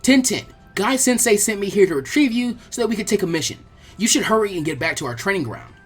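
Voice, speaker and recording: An earnest teenage martial-arts boy, acted out by a narrator, pitched up and swinging with excitement. He speaks in stiff, formal, emphatic declarations, like a hero in an anime story, with a slightly husky edge. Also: quick pace, faint music behind. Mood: eager, polite.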